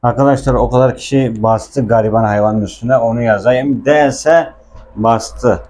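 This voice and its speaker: Male language teacher, soft-edged mellow tone, informal explanatory delivery with patient repetition. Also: medium pitch, moderate pace.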